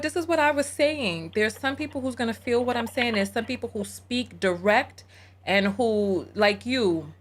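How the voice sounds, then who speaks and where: A woman hosting a live call-in show, lively and chatty, teasing her callers. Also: on the high side.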